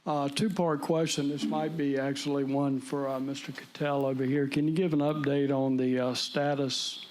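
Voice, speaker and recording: A man from the audience asks a polite, deliberate question at a meeting. His pitch is middling and stays flat and even throughout. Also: a little hiss or hum. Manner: polite, deliberate, hesitant with fillers. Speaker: audience member asking questions. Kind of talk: public meeting Q&A.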